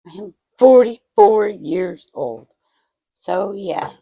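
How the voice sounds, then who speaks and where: A woman's voice: mid-range voice, lively intonation, takes it slowly, gravelly, breathy edge, no echo, dull audio. A woman in her forties chatting casually to her vlog audience at home, self-mocking and swearing freely. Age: 40s. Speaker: vlogger.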